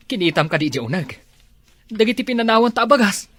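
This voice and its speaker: An actor with an Ilocano accent playing a fretful, questioning husband in a comedy drama. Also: male voice, sits high, big pitch swings, moderate pace, fairly bright tone, no echo, exaggerated voice. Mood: puzzled, upset. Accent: Ilocano, northern Philippines.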